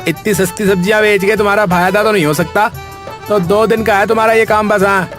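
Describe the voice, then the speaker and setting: A man's voice: high voice, steady tone, a little fast, a bit bright, faint music behind. A man voicing a jealous, scheming village vegetable seller in a comic cartoon, with a blustery, colloquial way of talking. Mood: taunting.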